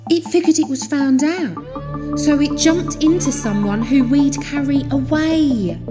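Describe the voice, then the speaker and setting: A woman's voice: high-pitched, unhurried. An actress playing an urgent female spaceship crew member in an audio drama. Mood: urgent, explaining. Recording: long reverb with music behind. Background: music.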